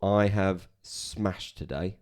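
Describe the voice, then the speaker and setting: A man's voice: sits low, steady tone, takes it slowly, a little mellow. A young man co-hosting a podcast, chatting casually with self-deprecating jokes. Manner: joking, self-deprecating banter. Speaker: podcast co-host. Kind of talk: casual chat.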